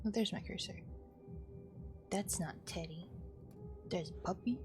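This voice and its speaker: A woman's voice: medium pitch, a little slow, music in the background. A woman streaming a game with casual, informal live commentary.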